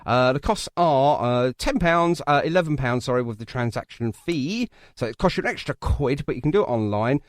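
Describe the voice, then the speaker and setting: A man's voice: mid-range voice, lively intonation, fairly quick, hardly any pauses, no echo, studio quality. A man hosting a chatty radio interview, jokey and teasing, often repeating himself. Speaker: radio show host.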